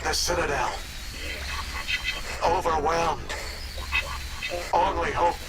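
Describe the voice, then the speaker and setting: An actor voicing a desperate alien warning recording in a video game, in broken fragments through a degraded transmission effect. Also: male voice, on the high side, fairly even intonation. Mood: despairing. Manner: halting, fragmented phrases. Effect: degraded, distorted transmission.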